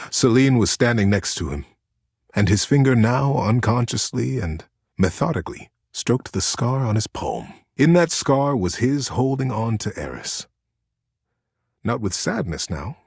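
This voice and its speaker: A man's voice: medium pitch, breathy edge, dry recording, studio quality. A man giving a tender, theatrical narration of a bedtime story. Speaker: voice actor narrating bedtime stories in a game.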